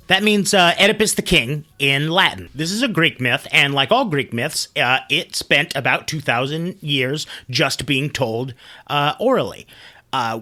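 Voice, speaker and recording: A man with a bright, forward voice hosts a storytelling show. His pitch sits a little high and swings widely as he talks. He speaks informally, like someone chatting through a tale and adding his own commentary.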